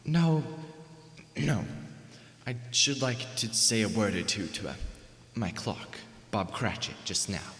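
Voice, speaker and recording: A man's voice: fairly low pitch, animated, takes it slowly, fairly bright tone. A man acting in a radio drama as Scrooge, a miser tormented by his past, with anguished, pleading delivery. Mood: uneasy. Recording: echoey hall reverb, music behind.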